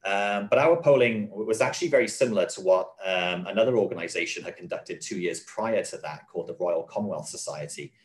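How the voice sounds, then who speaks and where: A man explaining policy in an interview, earnest and measured, often restarting and repeating phrases. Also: deep voice. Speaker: guest, advocacy spokesman.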